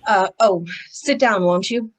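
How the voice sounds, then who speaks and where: A woman's voice: medium pitch, unhurried, lightly acted. A woman playing a worried, formal male business executive in old-time radio style, somewhat flustered. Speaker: actor in an old-time radio detective play. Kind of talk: 1940s radio acting.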